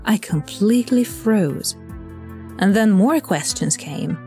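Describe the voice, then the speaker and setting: A woman's voice: medium pitch, lively intonation, slow pace, dark tone, faint music behind. A woman playing a socially awkward, flustered newcomer to Heaven, rambling anxiously in an audio drama monologue.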